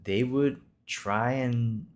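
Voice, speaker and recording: A man's voice: medium pitch, fairly even intonation, drawn-out words. A man in his twenties from Singapore hosting an improvised podcast, talking casually in a reassuring, encouraging, conversational way. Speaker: podcast host.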